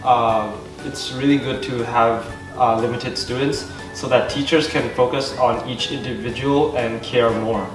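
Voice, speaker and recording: Male high schooler, mid-range voice, casual discussion, clear music under him in a roomy space. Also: steady tone, a little slow, hardly any pauses. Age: teenager, high school student. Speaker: student panelist. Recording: echoey room, distant mic, music behind.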